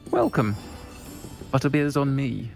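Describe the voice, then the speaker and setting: Creaky-voiced, welcoming tavern keeper. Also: male voice, medium pitch, animated, music in the background. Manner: hospitable, unhurried. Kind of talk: fantasy game dialogue.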